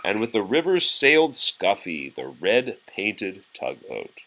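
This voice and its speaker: A man reading a children's storybook aloud expressively, pausing to chat and answer questions from young listeners. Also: on the low side, huge pitch swings, sharp tone, slight background noise, low-quality recording.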